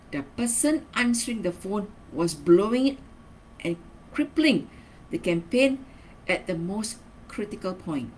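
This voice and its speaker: Woman presenting a training lesson, noisy background. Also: medium pitch. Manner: instructional, emphatic key words, frequent tag questions like okay and right. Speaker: marketing trainer. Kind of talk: semi-scripted video training lesson, read and explained.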